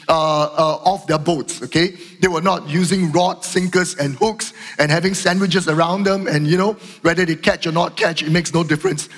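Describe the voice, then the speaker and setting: A middle-aged man preaching a sermon as pastor, earnest and repetitive, slightly hoarse from a cough. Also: sits high, fairly bright tone. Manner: earnest, repeats phrases, fillers and restarts. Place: church auditorium. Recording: long hall reverb.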